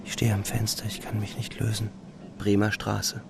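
A weary young soldier tells of home leave in a low, gravelly voice. He is brooding and restrained, speaking in halting phrases like an inner monologue, with music behind him in a radio drama. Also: monotone, noisy background. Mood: wistful. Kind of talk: literary radio play narration, inner monologue.

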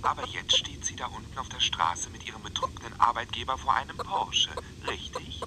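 Bemused young nephew cop in a radio play, halting questions, voice moves up and down. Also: male voice, medium pitch, huge pitch swings, creaky, noisy background. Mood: dry, hushed. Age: young adult. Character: young police dispatcher nephew, hesitant and bemused. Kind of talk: German radio crime drama acting.

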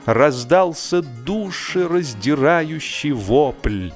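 A man narrating a humorous audiobook in an expressive, mock-solemn literary style, with music underneath. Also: mid-range voice.

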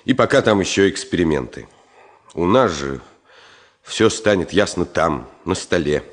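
An actor playing a restrained surgeon and family friend in a Soviet radio drama, measured, serious and soothing. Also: male voice, low voice, lively intonation. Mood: grave, firm. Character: restrained, grave male surgeon, protective old family friend.

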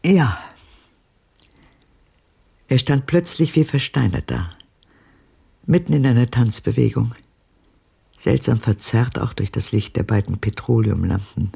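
An older actress playing a haunted old woman in a radio drama, speaking haltingly in a hushed, husky, gravelly, breathy voice. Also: a bass voice, lively intonation, heavily acted. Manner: halting, hushed, deliberate delivery. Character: haunted old woman recalling her father's abuse, halting.

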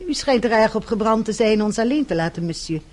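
An actress playing a self-assured, catty young French mademoiselle in a radio play, poised and haughty. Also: female voice, a little high, animated, quick pace, noisy background, close to an everyday voice. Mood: haughty, teasing. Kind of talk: Dutch radio play acting.

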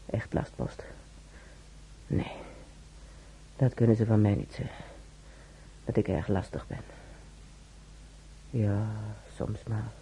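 A woman's voice: a bass voice, lively intonation, takes it slowly, long pauses, mellow, exaggerated voice. A woman playing a talkative, wry old hospital patient and former maid in a radio drama, rambling colloquially and muttering to herself. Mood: wistful muttering. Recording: clearly noisy old radio recording.

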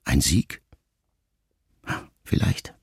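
A man in a radio production reading a letter aloud as literary narration, quiet and reflective, with phrase endings that trail off softly. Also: very slow, dry recording, studio quality.

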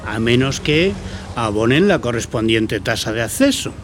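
An actor with an accent from Spain playing an officious, taunting, bullying gate guard in an audio drama. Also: male voice, medium pitch, expressive, normal speed, over music, close to an everyday voice. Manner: pompous, taunting. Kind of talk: scripted audio drama acting.

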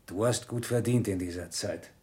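A man's voice: fairly low pitch, moderate pace, a little mellow, slight background noise, natural voice. An actor playing a bitter, weary, impoverished craftsman husband in a German radio play, terse, subdued and reproachful.

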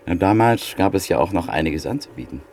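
An actor in a classic radio play playing a middle-aged antique print dealer who tells a story in a measured, reflective way. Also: male voice, low voice, expressive, darkish tone, slight background noise. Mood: thoughtful. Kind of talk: classic radio drama acting.

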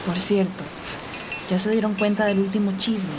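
A woman's voice: medium pitch, flat delivery, normal speed, background noise, close to an everyday voice. A woman playing a gossipy, eager young factory worker in a radio soap opera. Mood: gossipy, alarmed. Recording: chattering crowd behind.

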